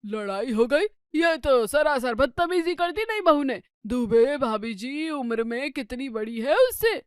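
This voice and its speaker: A woman's voice: high-pitched, animated, measured pace, clear, resonant tone, no echo, clean studio sound. A woman playing an unfazed, dismissive middle-aged husband and father in a comedy story, speaking in a nonchalant manner. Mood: mildly curious. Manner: nonchalant, shrugging off everything. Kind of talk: Hindi comedy story dramatisation.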